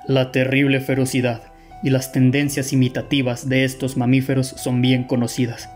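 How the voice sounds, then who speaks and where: Radio drama sidekick, male actor: Mexican accent, careful formal delivery, little pitch movement, attentive and puzzled, faint music bed. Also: lightly acted. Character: earnest male companion of a detective, puzzled and attentive. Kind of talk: radio play acting.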